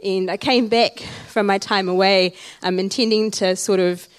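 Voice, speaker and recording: A woman's voice: medium pitch, steady tone, normal speed, clear, resonant tone, no echo. A woman, from Sri Lanka and raised in Auckland, speaking earnestly and reflectively as an interview guest in a church service. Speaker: interview guest, community development worker.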